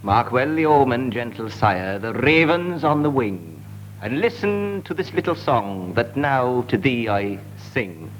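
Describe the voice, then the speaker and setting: Male comic panellist reciting verse, measured pace, animated pitch, noisy live recording. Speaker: comedy panellist.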